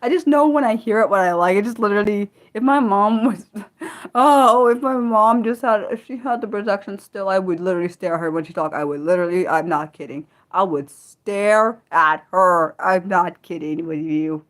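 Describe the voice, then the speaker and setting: An American woman hosting a casual livestream, rambling and laughing often, trailing off with self-deprecating asides and swinging from loud bursts to soft mumbles. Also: medium pitch, normal speed. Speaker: livestream host. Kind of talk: casual unscripted livestream chat, answering viewers.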